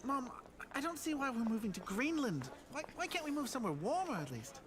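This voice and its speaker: A man's voice: extremely high pitch, very animated, a little fast, background noise. An actor voicing an anxious, guilt-ridden young man in an audio drama, stammering and apologetic. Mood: whiny. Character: anxious young man, guilty, pleading, son of a fussy mother. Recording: loud music under the voice.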